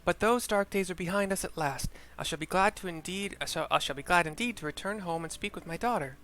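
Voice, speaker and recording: A man's voice: high voice, fast, sharp tone, a little hiss or hum, pitched above the performer's own voice. A man voicing an affectionate, worried motherly woman with earnest, old-fashioned formal phrasing in a fantasy game. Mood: relieved, earnest.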